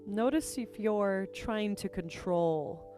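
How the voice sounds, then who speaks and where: A woman leading a guided meditation as a teacher, speaking gently and unhurriedly with pauses between phrases. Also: mid-range voice. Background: music.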